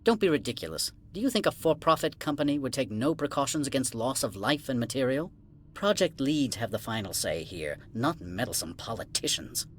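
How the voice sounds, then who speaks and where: A male actor plays a haughty corporate administrator from an alien world in a video game. His mid-pitched voice is formal, clipped and condescending, as if every visitor wastes his time. A light layer of noise sits behind him. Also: big pitch swings, fairly quick, natural voice. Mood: dismissive. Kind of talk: video game cutscene acting.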